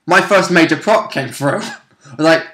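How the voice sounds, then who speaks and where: A man's voice: a little high, normal speed, fairly bright tone. A man who plays tabletop roleplay, chatting casually about the game.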